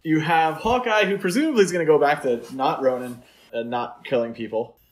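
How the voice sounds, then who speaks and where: A man's voice: a little high, brisk. A man co-hosting a podcast, chatting about films in an easygoing, jokey and conversational style. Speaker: podcast co-host. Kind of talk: casual chat, film discussion.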